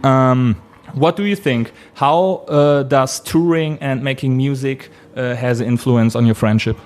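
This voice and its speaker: A man asking interview questions in a halting, hesitant way. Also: medium pitch. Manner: halting, hesitant phrasing in non-native English.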